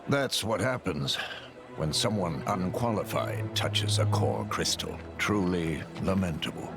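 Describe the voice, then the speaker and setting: An actor playing a courteous, grave male retainer with formal, measured, ornate speech in a video game. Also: sits low, expressive. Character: courteous, formal, grave manservant-like companion of a lady. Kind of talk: video game cutscene acting. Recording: music clearly behind the voice.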